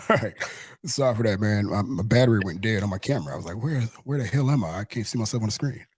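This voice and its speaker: A man's voice: on the low side, fast talker, slightly rough. A man from Mississippi, hosting a casual sports fan chat in a laid-back, slangy way, often restating himself. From Mississippi, United States. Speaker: host of a sports fan show.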